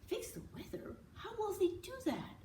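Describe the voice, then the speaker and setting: A woman acts a curious young boy from a picture book. He is eager and questioning, pitched up and swinging widely, in a roomy recording with some hiss. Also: big pitch swings, moderate pace, warm tone. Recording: roomy, distant mic with hiss.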